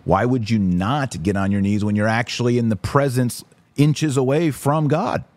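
A man's voice: medium pitch, normal speed, a little mellow, no echo. A man hosting a podcast in a casual, blunt, conversational livestream style, talking directly to his viewers. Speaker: podcast host and author. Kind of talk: casual livestream commentary, reading viewer comments.

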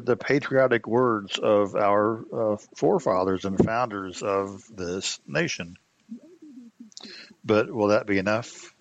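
A man giving explanatory commentary as a host, in a halting, reflective manner. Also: lowish voice, creaky. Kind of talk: explanatory commentary on old radio. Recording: slightly muffled, dull sound.

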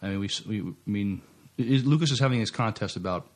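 A male podcast co-host with a fairly low voice chats casually. His delivery is understated and a bit hesitant, as if thinking while he talks. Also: fast. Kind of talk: casual chat.

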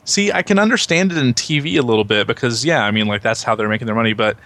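A man's voice: medium pitch, fast talker, a bit bright. A man in a casual conversation, speaking as a host on a video game podcast. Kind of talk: casual chat.

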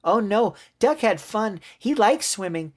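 An innocent, earnest little girl from a storybook, as acted out by a male narrator, speaks in a raised, childlike voice. The delivery is pleading and wide-eyed, with lively swings of pitch. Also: measured pace. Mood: worried, insistent.

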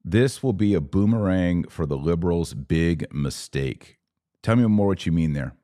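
Very deep-voiced man hosting a livestream. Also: animated, moderate pace, mellow, dry recording, studio quality. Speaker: podcast host. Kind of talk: casual live-stream commentary, reading viewer comments aloud.

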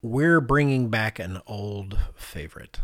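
A man's voice: fairly low pitch, expressive, takes it slowly, fairly bright tone, dry recording, studio quality. A middle-aged man co-hosting a podcast, telling stories in a casual, conversational way and acting out what people said. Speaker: podcast co-host.

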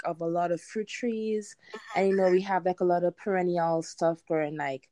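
A woman's voice: medium pitch, a little mellow, dry recording. A woman in her thirties with a Trinidadian accent, chatting casually as an interview guest. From Trinidad and Tobago. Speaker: podcast guest, home gardener.

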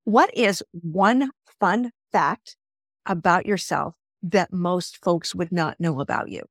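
A woman co-hosting an interview, asking questions in an animated, friendly way. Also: on the low side, slow, no echo, clean studio sound. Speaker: interview co-host.

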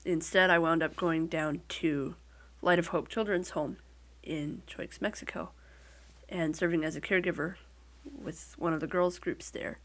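A woman's voice: lowish voice, fairly even intonation, unhurried, a bit bright. A woman with a Midwestern American accent, talking casually as an interview guest and telling things in a wry, lightly joking way. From Midwestern United States.

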